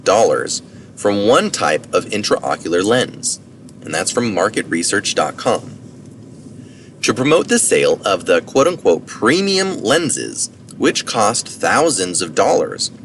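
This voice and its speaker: Low-pitched man reading aloud, lively intonation. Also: unhurried. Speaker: reader of articles. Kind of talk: reading an article aloud with brief asides. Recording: distant mic with faint background noise.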